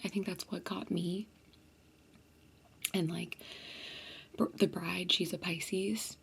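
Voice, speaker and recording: A woman's voice: on the low side, creaky, fairly bright tone, husky. A young woman vlogger whispering a casual, chatty storytime to her viewers. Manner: chatty, many fillers.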